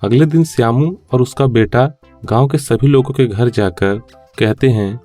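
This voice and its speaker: A man's voice: mid-range voice, unhurried, dark tone. Male narrator for a children's moral tale, mid-range voice, light music underneath. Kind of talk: scripted children's story narration.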